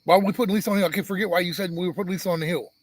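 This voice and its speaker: A man with a monotone voice co-hosting a casual live-stream chat, joking and rambling with frequent restarts. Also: high voice, rapid-fire, dry recording. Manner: teasing, rambling, many restarts. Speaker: homestead channel co-host.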